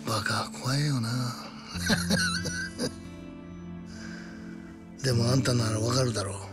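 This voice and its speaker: A man's voice: medium pitch, wildly swooping pitch, slow pace, music in the background. An actor playing a weary, self-mocking middle-aged gangster in a game drama, casual and rueful in his delivery. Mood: rueful, bitter. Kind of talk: cinematic game drama acting.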